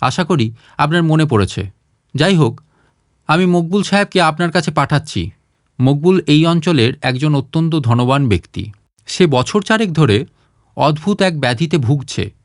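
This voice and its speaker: Animated male storyteller, lightly high-pitched. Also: moderate pace, pauses often, no room sound, studio quality. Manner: expressive, acts out dialogue. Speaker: storyteller, audiobook narrator. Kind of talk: dramatised scripted short-story narration.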